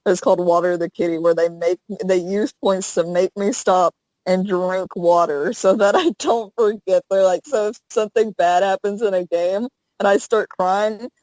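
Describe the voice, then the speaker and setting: A woman's voice: mid-range voice, steady tone, moderate pace, darkish tone, no room sound, rough recording. A young woman from the American South, a streamer, chatting casually in an interview and rambling with lots of fillers. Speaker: Twitch streamer, guest. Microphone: headset.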